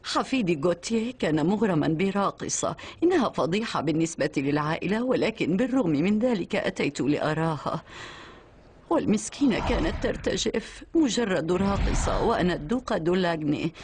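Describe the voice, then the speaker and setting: A woman's voice: lowish voice, expressive, a little fast, husky. A woman playing a dignified aristocratic old grandmother, a duchess, with formal, stately speech in an Arabic cartoon dub. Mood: gracious, reminiscing. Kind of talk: dubbed Arabic cartoon acting. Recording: loud background music.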